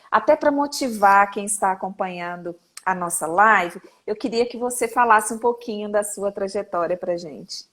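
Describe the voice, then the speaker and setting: A middle-aged woman hosting a live legal talk stream, chatty and laughing easily. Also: mid-range voice. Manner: warm, chatty, laughs often. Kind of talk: casual live-stream chat.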